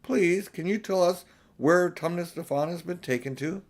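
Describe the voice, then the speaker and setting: Little girl for a storybook, played by a man: earnest, kindhearted, insistent, raised pitch. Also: moderate pace, lightly acted. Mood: curious, hopeful. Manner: insistent, pleading.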